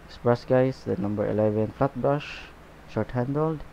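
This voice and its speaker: A man giving a painting tutorial as he works, talking in a relaxed, unhurried way and often saying "okay" and "guys". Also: medium pitch, gravelly, slight background noise. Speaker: painting instructor. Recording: slightly dull, lo-fi recording.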